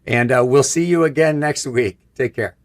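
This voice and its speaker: A man's voice: medium pitch, moderate pace. A man in his fifties from Michigan with a scratchy voice, hosting a technical live stream in an upbeat, chatty way. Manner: upbeat, chatty, gestures while talking. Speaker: host. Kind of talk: live stream product presentation. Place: room with a brick wall backdrop.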